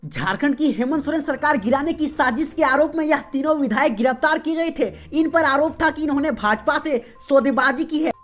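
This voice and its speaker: A woman reading the day's regional news as a host, in a steady, formal newsreader delivery. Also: high voice, brisk, few pauses. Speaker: news reader. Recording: faint music behind voice.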